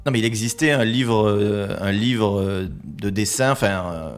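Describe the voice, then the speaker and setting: Man, low voice, podcast co-host, hesitant, lots of fillers. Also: measured pace, soft background music. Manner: hesitant, searches for words with many fillers. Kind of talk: casual podcast chat.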